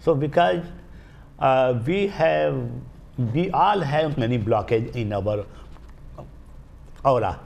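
An older man with an Indian accent explains as an interview guest in a studio, haltingly, searching for words and repeating phrases. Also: mid-range voice, vocal fry, darkish tone. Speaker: guest, Vastu healer. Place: small indoor TV studio. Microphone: lapel mic.

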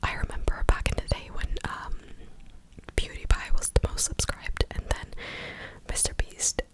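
A woman in her twenties whispering close to the mic in a breathy, casual, chatty ASMR style. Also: normal speed. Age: 20s. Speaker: ASMR video host. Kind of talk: whispered ASMR news recap. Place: small living room indoors. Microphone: large desk condenser mic, very close.

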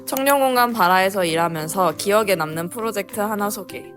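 A woman's voice: medium pitch, brisk, fairly bright tone, faint music behind. A young woman with a sore throat from a cold, chatting casually and laughing as a youth center manager in a staff interview. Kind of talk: casual interview among coworkers.

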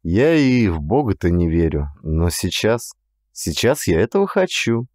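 A man voicing a calm, wry, affectionate male hero with understated delivery in an audiobook. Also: deep voice, measured pace, no echo, clean studio sound. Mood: earnest. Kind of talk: audiobook character dialogue.

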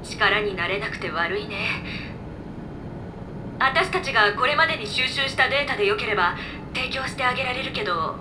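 A woman's voice: fairly high pitch, lively intonation, normal speed, background noise, lightly acted. A woman voicing an easygoing, knowledgeable floating robot in a video game, explaining things casually. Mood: thoughtful. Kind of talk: video game voice acting, exposition.

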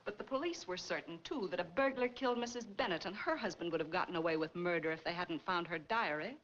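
A woman's voice: on the low side, quick pace, a bit bright, close to an everyday voice. An American actress playing a stern, bitterly accusing middle-aged woman, severe and pointed, in a 1940s noir film. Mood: cold, accusing. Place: large living room film set. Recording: muffled, noisy 1940s film soundtrack.

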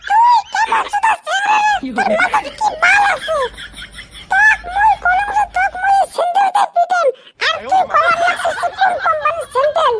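A man voicing a scornful, hot-tempered young woman in a cartoon, scolding in a northern Bengali accent with a pitch-raised effect. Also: fairly quick, shouting, very noisy. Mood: scornful, angry. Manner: shrill, scolding, insulting.

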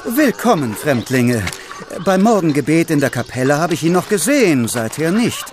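A man's voice: on the high side, very animated, normal speed, background noise. A man playing a pious, formal medieval castle chaplain in a children's audio drama, speaking solemnly. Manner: solemn, formal greetings and blessings.

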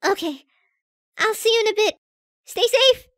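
A woman voicing a shy, flustered teenage girl with a crush in an anime roleplay audio drama, stammering, hesitant and excitable. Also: very high voice, normal speed, no room sound, clean studio sound. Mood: bright, hurried.

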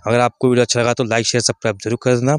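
A man's voice: mid-range voice, flat delivery, rapid-fire, clear, resonant tone, dry recording, clean studio sound. A man hosting and narrating sports reaction commentary for his online audience. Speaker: YouTube channel host and narrator. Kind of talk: scripted-sounding reaction commentary to camera audience.

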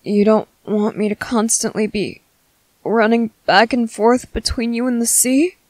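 A woman voicing a gentle, earnest young merman in a soft, halting, soothing ASMR roleplay. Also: fairly high pitch, dry recording, natural voice. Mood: reassuring.

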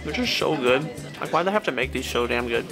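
A man's voice: mid-range voice, lively intonation, fast, music in the background. A young man co-hosting a travel food vlog, easygoing and enthusiastic, talking to a handheld camera in a car and a small restaurant. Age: young adult. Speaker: travel vlogger co-host. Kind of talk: casual vlog chat.

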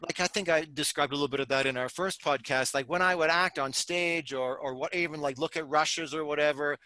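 A man's voice: fairly high pitch, a little fast, no echo. An older man on a headset mic in a home study, talking animatedly in a casual chat. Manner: animated, gestures while talking, many restarts. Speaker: podcast host. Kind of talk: casual conversation.

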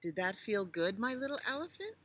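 A woman voicing a gentle mother elephant, patiently teaching her little one in a children's storybook. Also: medium pitch, sing-song, brisk, breathy edge, pitched above the performer's own voice. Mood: gently encouraging. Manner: patient, instructive.

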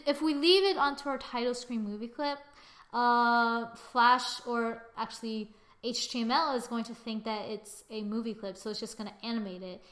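A young woman giving a casual software tutorial, thinking aloud informally with muttered asides as she works. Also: on the high side. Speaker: tutorial presenter. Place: indoors, voice-over to a screen recording.

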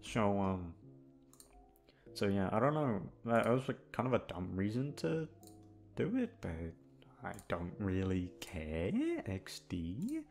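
A man's voice: lowish voice, big pitch swings, takes it slowly, a little mellow, faint music behind. A man chatting casually to his listeners as an ASMR creator, rambling hesitantly with self-deprecating swearing. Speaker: ASMR audio creator and host.

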